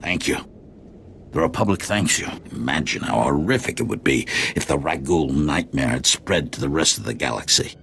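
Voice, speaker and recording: A man's voice: extremely low pitch, lively intonation, normal speed, vocal fry, fairly bright tone, slight background noise, full character voice. A man voicing a solemn, formal official in a video game. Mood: grave, grateful. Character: grave male Republic official, formal and grateful. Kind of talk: video game dialogue acting.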